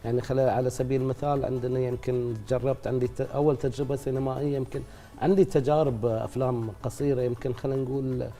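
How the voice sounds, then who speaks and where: A middle-aged man, an actor being interviewed, speaking reflectively at length with pauses. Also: mid-range voice, little pitch movement, warm tone. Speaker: actor guest. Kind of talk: casual interview talk.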